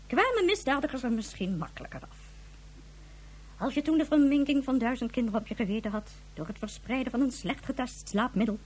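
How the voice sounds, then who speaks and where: A stern female leader in a science fiction radio play. Her voice is high and swings widely as she lectures in formal, measured phrases. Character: stern authoritative female chief of a future space society. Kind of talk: Dutch science fiction radio drama acting.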